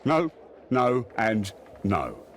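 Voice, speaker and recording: An actor playing a mocking, easily flustered police inspector with a British accent in a video game. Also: male voice, mid-range voice, huge pitch swings, drawn-out words, slight background noise, exaggerated voice. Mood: irritated. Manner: mocking, dismissive. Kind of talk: video game cutscene acting.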